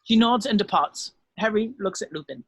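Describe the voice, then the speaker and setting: A man's voice: high voice, animated, normal speed, a bit bright, slightly rough, no echo. A man narrating scripted stage directions at an amateur drama table read.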